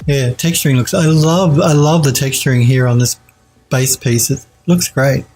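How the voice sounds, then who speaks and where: Man, 40s, stuffed-up nasal head cold, warm-toned enthusiastic streamer, faint music behind. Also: medium pitch, steady tone, moderate pace. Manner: enthusiastic, repeats praise, restarts. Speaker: 3D art streamer reviewing viewer work. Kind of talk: casual live-stream critique. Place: home streaming room, indoors.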